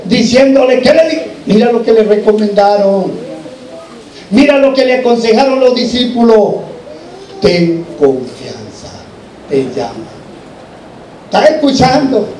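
A male preacher exhorts his congregation from some distance off the microphone, in a roomy, echoing space. His voice sits high for a man, and he drives the sermon with questions and repeated phrases. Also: warm tone, slight background noise. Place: large echoing room, church service.

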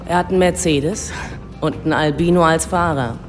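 A woman's voice: sits low, moderate pace, close to an everyday voice. A woman playing a curt, guarded prostitute in a radio drama, offhand and evasive. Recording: reverberant club scene, music underneath.

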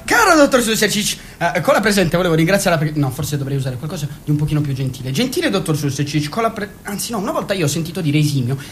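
Man acting a timid stammering clerk, high jumpy voice, quick, radio play. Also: sing-song, fairly bright tone, a little hiss or hum. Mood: nervous. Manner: hesitant, stammering.

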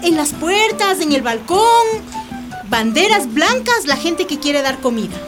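Animated, high-voiced neighbourhood matriarch. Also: female voice, a little slow. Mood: rallying, decisive. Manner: folksy, emphatic, decisive. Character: earthy, outspoken older neighbourhood matriarch rallying the women. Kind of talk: radio drama dialogue. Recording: music playing behind.

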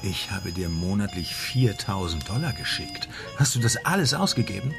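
An actor playing a grumpy, suspicious friend who questions gruffly and sarcastically in a radio crime drama. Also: male voice, sits low, sing-song, normal speed, over music, exaggerated voice. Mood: sarcastic probing.

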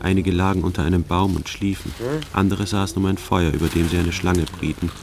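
A man playing a secretive, world-weary army sergeant in a radio drama, measured and matter-of-fact. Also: deep voice, flat delivery, vocal fry, background noise. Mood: dry, measured. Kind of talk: radio drama, dry first-person narration.

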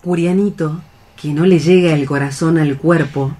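A man's voice: fairly high pitch, steady tone, moderate pace, warm tone. A man narrating radio theatre, reading out scripted stage directions. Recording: faint music behind the voice. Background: faint music.

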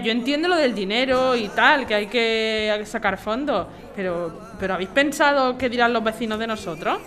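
Woman doing snobby judgy lady in audio drama, sharp and high voiced, fussy about appearances. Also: close to an everyday voice. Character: disapproving, snobbish woman worried about neighbours.

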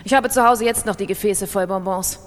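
A woman's voice: medium pitch, fairly quick, long reverb tail, lightly acted. A woman playing an obsessive gambler in a confessional radio-drama monologue. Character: obsessive female gambler confessing her addiction.

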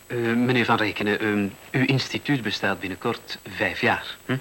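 A man acting in a Dutch radio play as a polite newspaper reporter who questions in a measured, probing way. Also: lowish voice, fairly bright tone, a little hiss or hum, lightly acted.

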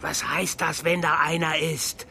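An actor playing a suspicious, coarse, foul-mouthed gangster in a radio crime drama. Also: male voice, on the high side, flat delivery, unhurried, creaky, bright, forceful tone, slight background noise, exaggerated voice. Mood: irritated, menacing.

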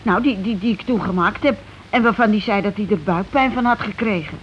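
An actress playing an anxious, submissive working-class wife in a radio play, with a timid, hesitant, stammering delivery. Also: female voice, mid-range voice, a little fast, natural voice. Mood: worried, hesitant. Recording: hissy, dull old radio recording.

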